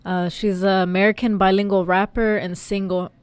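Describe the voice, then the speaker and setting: A woman, co-hosting a casual podcast and chatting in a relaxed way. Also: medium pitch, normal speed, smooth, no echo. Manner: relaxed, lots of affirming murmurs. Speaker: podcast co-host.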